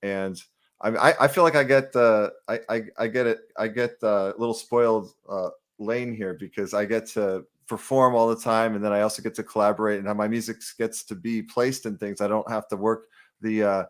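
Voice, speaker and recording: Male musician guest, casual chat, lowish pitch. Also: steady tone, moderate pace.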